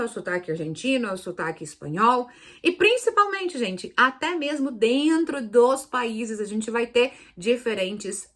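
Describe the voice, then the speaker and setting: A Brazilian woman speaks in a fairly high voice as a teacher hosting an online lesson. Her delivery is casual and explanatory. She is didactic, often repeating and rephrasing the main points so they are easy to follow. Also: a bit bright. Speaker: Spanish teacher hosting a video lesson series.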